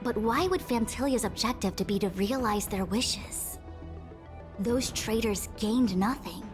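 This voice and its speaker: A woman voicing a dignified, authoritative young diviner and acting general in a video game, speaking formally and with measured eloquence. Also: a little high, music in the background, lightly acted. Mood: grave, analytical. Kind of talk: video game character dialogue.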